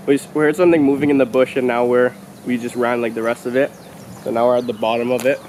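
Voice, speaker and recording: A man's voice: mid-range voice, little pitch movement, quick pace, a little mellow. A young man chatting casually to his camera as a vlogger while out and about outdoors. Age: young adult. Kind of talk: casual vlog chat to camera. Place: outdoors on a forest trail and at an abandoned building. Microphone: handheld camera at arm's length. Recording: noisy outdoor camera audio.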